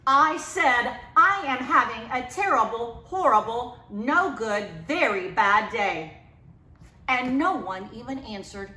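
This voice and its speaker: A woman's voice: fairly high pitch, lively intonation, slow pace, pushed and bright, heavily acted. A woman voicing a grumpy, aggrieved little boy in a storybook, whining and drawing out his complaints. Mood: miserable. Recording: echoey, roomy sound.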